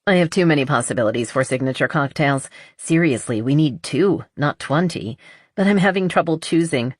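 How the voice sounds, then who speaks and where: A woman's voice: sits low, animated, moderate pace, no echo, studio quality. A woman voicing a breezy, confident young female bartender in a romance audiobook, with a casual manner.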